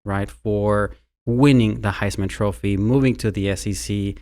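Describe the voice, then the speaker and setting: A man's voice: fairly low pitch, steady tone, measured pace, darkish tone. A man from Mexico with a Mexican Spanish accent, a university marketing executive talking as a guest in a conversational interview, who often repeats words as he starts a sentence.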